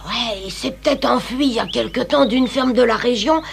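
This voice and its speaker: A woman's voice: a little high, moderate pace, gravelly, slight background noise. A woman playing a scheming, bossy orphan boy in a French cartoon, streetwise and conspiratorial. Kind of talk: French cartoon dub acting.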